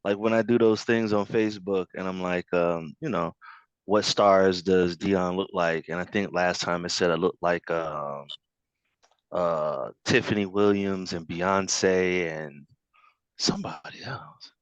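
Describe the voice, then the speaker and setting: A man with a low voice talks loosely in a casual online discussion, leaning on fillers and breaking into laughs. The sound is dry and muffled, as from a call. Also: measured pace, no room sound. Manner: animated, laughing, trailing off with fillers.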